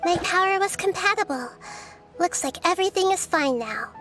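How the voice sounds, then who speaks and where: A calm, wise young goddess. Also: female voice, extremely high pitch, moderate pace, over music. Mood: reassuring. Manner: calm, thoughtful, scholarly. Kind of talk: game cutscene voice acting.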